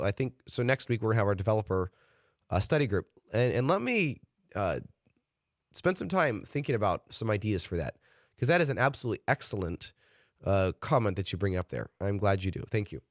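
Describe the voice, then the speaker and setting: A man's voice: lowish voice, dry recording, studio quality. Earnest man leading a study group. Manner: earnest, encouraging, many "uh" fillers. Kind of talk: online study session.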